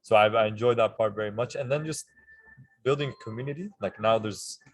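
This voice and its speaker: A man's voice: on the low side, a little fast. A young man who teaches Arabic, chatting casually and enthusiastically, with a loose, rambling delivery full of fillers and restarts. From Levant. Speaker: Arabic teacher and language coach. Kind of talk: casual conversation.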